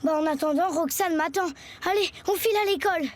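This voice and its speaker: A woman's voice: very high-pitched, fast. A woman voicing a modest young schoolboy in a French cartoon, reluctant about his fame. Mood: grumbling. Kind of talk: French animated series dubbing. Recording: voice over loud cartoon music.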